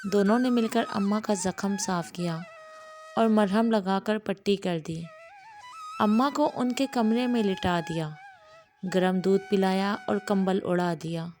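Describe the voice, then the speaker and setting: Slow-paced woman narrating a story over music. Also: mid-range voice, a little mellow. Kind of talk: scripted audio storytelling in Urdu with acted dialogue.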